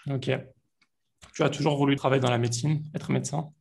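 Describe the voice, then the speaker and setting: A man hosting a podcast, reading a scripted intro and asking relaxed questions. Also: mid-range voice, fast, vocal fry. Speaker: podcast host.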